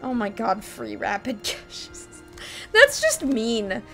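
A woman's voice: fairly high pitch, huge pitch swings, slow pace, gravelly, sharp tone. A young woman streaming a card game at her desk, chatting gleefully with viewers, laughing and swearing casually, her voice a little strained. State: voice slightly strained. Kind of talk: casual gameplay commentary. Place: small room at a gaming desk. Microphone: desk mic, webcam at computer. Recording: game music faintly behind.

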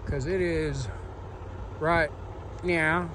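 Higher-voiced man vlogging outdoors over engine noise. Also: steady tone, very slow. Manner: folksy, informal, colloquial. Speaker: truck driver vlogger. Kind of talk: casual vlog narration to viewers. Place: outdoors on a roadside at night. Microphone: handheld phone. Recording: clear outdoor vehicle noise behind voice. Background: rumbling truck engine.

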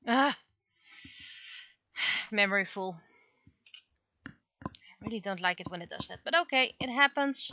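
A woman livestreamer thinks aloud in short, halting phrases at a slow pace. Her voice is bright and clear-cut in tone, though the recording is slightly dull. It sounds close and dry, like casual chat over desk work. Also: medium pitch, lively intonation, no echo. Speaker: livestreamer and webcomic artist.

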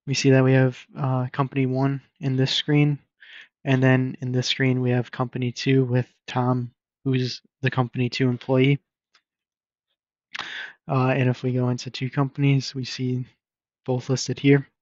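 A man presenting a casual screen-share software demo in an informal, explanatory and somewhat hesitant way. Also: medium pitch, monotone, a little slow, dry recording. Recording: dull lo-fi microphone recording.

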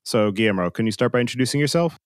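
A man's voice: mid-range voice, lively intonation, very fast, no echo, clean studio sound. A man hosting a podcast interview, asking his guests short, plain questions. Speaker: podcast host.

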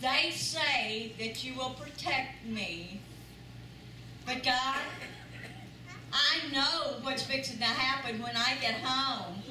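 Older woman, high voice, slow earnest testimony, echoey sanctuary from far off, noisy. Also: sharp tone. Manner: earnest, emphatic repetition. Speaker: church member giving testimony. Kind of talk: spoken testimony in church. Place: large church sanctuary with audience.

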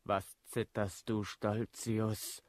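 An actor playing a wronged, trembling servant in a German radio drama, brooding, bitter and anguished. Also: male voice, on the low side, little pitch movement, drawn-out words, background noise.

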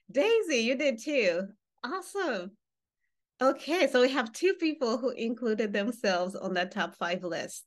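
A woman in her thirties, who grew up in Uganda, leading an online workshop on a video call and talking directly to the participants. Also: mid-range voice. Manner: animated, gestures while speaking, engages participants directly. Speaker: workshop facilitator. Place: home room with wall baskets. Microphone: webcam on a video call.

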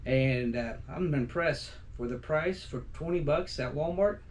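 A man's voice: on the high side, unhurried, slight background noise. A middle-aged man chatting loosely at home for his outdoor vlog, folksy and full of restarts and fillers. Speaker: outdoor vlogger. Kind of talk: rambling casual vlog chat. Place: small decorated home room, indoors.

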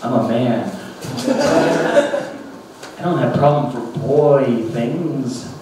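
A man's voice: mid-range voice, slow. Man on a comedy club stage, roomy. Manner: animated, ranting bursts when acting out his younger self. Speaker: stand-up comedian. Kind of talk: stand-up storytelling. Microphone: handheld mic. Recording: roomy club sound from a distant camera. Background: audience laughter and room noise.

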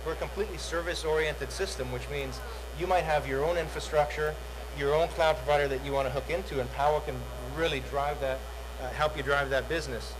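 Male presenter, middle-aged, salesy conference pitch with "uh"s, slightly raised voice, noisy hall recording. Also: fairly even intonation, a little fast, hardly any pauses. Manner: salesy, repeats words, many "uh" fillers. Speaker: product demo presenter. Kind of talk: conference tech demo. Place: large dark conference hall, on stage.

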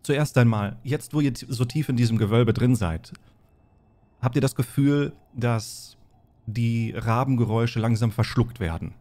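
A man runs a tabletop role-playing game. His voice sits at a middle pitch, and he explains and narrates with deliberate, instructive phrasing. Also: dark tone. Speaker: game master.

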